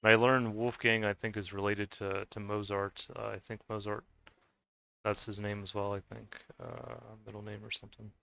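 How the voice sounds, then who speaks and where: A man's voice: on the low side, flat delivery, normal speed, creaky, sharp tone. Hesitant man rambling over gameplay. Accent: Scottish-tinged. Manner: hesitant, many restarts and trailing off. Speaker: gaming video creator.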